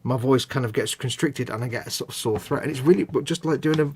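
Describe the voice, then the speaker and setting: A man's voice: medium pitch, quick pace, a little mellow, husky. A British man hosting a live model-building stream, chatting casually and self-deprecatingly, often restarting his sentences. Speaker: host of a model-building channel. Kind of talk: casual chat on a livestream.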